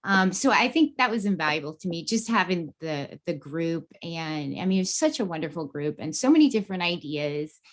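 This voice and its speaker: A woman's voice: fairly low pitch, expressive, moderate pace, few pauses. A woman chatting casually as a podcast guest, candid, informal and enthusiastic. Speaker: podcast guest, beginning writer. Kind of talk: casual chat.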